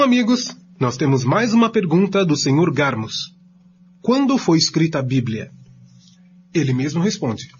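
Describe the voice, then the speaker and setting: A man's voice: fairly high pitch, darkish tone. A man co-presenting a religious study programme, reading scripted commentary. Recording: muffled lo-fi recording with noise.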